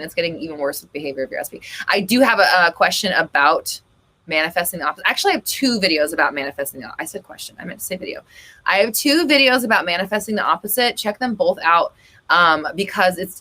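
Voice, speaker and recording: A woman hosting a live chat Q&A, reading viewers' comments aloud and answering them, chatty and blunt, swearing freely and often breaking into gasps and high laughs. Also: bright, forceful tone. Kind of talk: casual live-stream Q&A.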